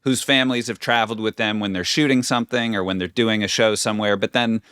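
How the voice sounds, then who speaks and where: A man's voice: on the low side, fairly even intonation, quick pace, fairly bright tone, clear, resonant tone, dry recording. Male podcast co-host, mid-range voice, long rambling questions, dry close sound. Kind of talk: casual interview questions.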